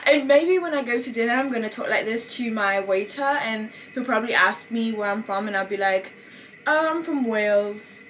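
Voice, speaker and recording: A woman's voice: fairly high pitch, expressive, normal speed, a bit bright, a little hiss or hum. Woman putting on a playful British accent. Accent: put-on British. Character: chatty young woman pretending to be British. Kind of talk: casual vlog.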